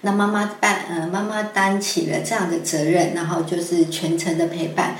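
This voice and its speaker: A woman guest talks in Taiwanese Mandarin in a slightly low voice. Her explanations are conversational and hesitant, assembled as she goes. The recording is noticeably echoey, with faint noise behind her. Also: little pitch movement, moderate pace. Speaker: guest scriptwriter.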